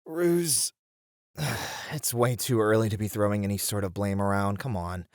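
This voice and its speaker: A man's voice: on the low side, normal speed, no echo, studio quality, close to an everyday voice. A man playing a responsible, muscular warrior in a fantasy audio roleplay, exasperated and grumbling but earnest. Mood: weary, annoyed.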